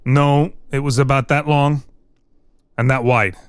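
A woman's voice: a bass voice, fairly even intonation, measured pace, no echo. A woman playing a male immigrant prisoner who confesses in terse, simple broken English, in a dramatic reading. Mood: flat, evasive. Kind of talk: dramatic reading of an interrogation transcript.

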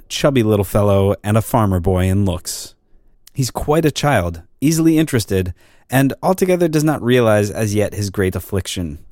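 A man's voice: lowish voice, darkish tone, no room sound, studio quality. A man reading scripted narration as a podcast host.

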